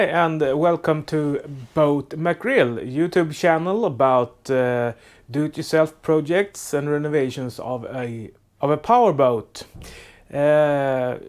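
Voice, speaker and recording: A man with a Swedish accent, a native Swedish speaker, hosting a do-it-yourself boat restoration vlog from his makeshift workshop, talking in a halting way with many filler sounds and restarts. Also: on the high side, animated. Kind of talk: casual how-to narration.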